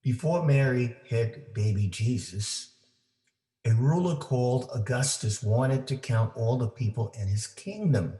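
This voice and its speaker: A man reading a children's story aloud for families, with deliberate, simple phrasing for young listeners. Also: mid-range voice, mellow. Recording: roomy, echoing indoor sound.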